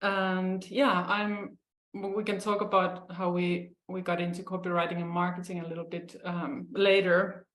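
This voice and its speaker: Woman, from Finland, co-host, hesitant, fairly low pitch, roomy echo. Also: level tone, unhurried, fairly bright tone. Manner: hesitant, many fillers and restarts. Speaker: podcast co-host. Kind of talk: casual chat.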